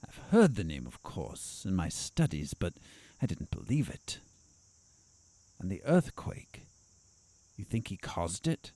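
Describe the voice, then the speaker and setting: Villainous doctor for audio drama, male actor: very deep, theatrically swooping, haughty and deliberate. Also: big pitch swings, warm tone, no room sound, full character voice. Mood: disdainful, surprised.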